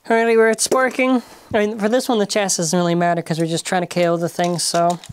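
A woman's voice: on the low side, little pitch movement, fast talker. A woman giving casual, offhand commentary while testing toys, stumbling over and repeating words. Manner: offhand, repeats words and restarts, many fillers. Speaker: toy-testing video host. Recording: loud noise, clicks behind voice.

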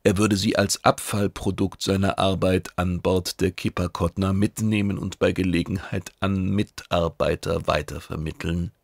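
A man narrates a science fiction audiobook from a script. His voice sits fairly low with plenty of gravelly fry, and the recording is close and dry. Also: little pitch movement, normal speed, few pauses, dry recording.

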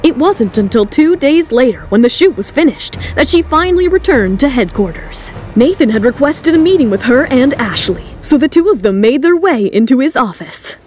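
A woman's voice: high-pitched, big pitch swings, moderate pace, few pauses, a little mellow, slight background noise. A woman reading scripted, dramatic third-person narration for an audio drama. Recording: music bed under the voice. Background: background music.

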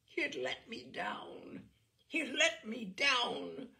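Audiobook role: woman reader as tormented wife, intense and bitter, deliberate pace with gravelly edges. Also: on the high side, very animated, pitched above the performer's own voice, heavily acted. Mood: furious. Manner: dramatic, intense, hushed then rising to cries. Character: tormented younger wife confessing, bitter, seething, haunted.

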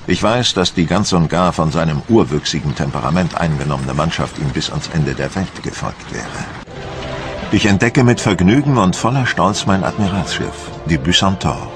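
Proud french admiral telling his story, low voice, formal and measured, music behind, docu radio drama. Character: proud, dutiful French admiral recounting his naval campaign. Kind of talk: dramatised documentary narration.

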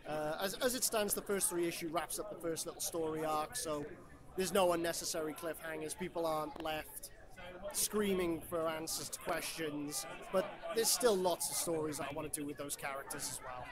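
A man's voice: on the high side, fairly even intonation, normal speed. A man from Wales, a comic creator chatting casually about his book at a convention. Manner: loose, rambling, with fillers and dry jokes. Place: convention floor. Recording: busy convention crowd behind.

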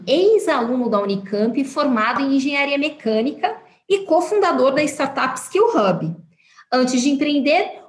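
A woman with a Brazilian Portuguese accent hosting a podcast, giving clear, measured introductions. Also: high voice. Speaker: podcast host. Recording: roomy echo, faint music.

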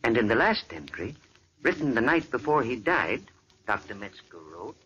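An earnest doctor. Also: male voice, medium pitch, very animated, normal speed, sharp tone, a little hiss or hum. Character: earnest male doctor explaining a case. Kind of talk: 1940s radio acting. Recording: old muffled radio recording.

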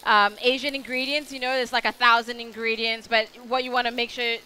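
A young Australian woman talks a live crowd through a recipe on a show-stage kitchen, chatty and rambling, full of fillers. Her voice is bright and fairly high, over a faint room murmur. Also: level tone, fairly quick, a little hiss or hum. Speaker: cooking demonstrator. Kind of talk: live cooking demo.

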